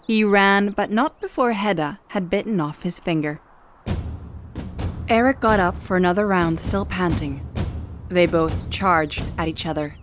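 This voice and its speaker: Female narrator for a fantasy saga, lowish voice, scripted dramatic storytelling over soft music. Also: a little slow.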